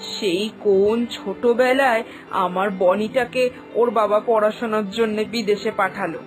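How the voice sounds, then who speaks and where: A woman's voice: mid-range voice, natural voice. A woman voicing Boni's mother, a simple, fretful village mother who rambles with emotion, in a cartoon. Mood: fond. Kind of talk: cartoon voice acting.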